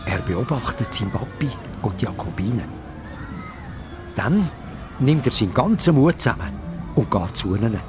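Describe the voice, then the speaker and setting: A Swiss German man tells a children's story in a medium-pitched voice that swings widely in tone. Music plays clearly behind him as he narrates the scripted tale. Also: sing-song, moderate pace, pauses often, a crowd in the background. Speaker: narrator of a children's audio drama.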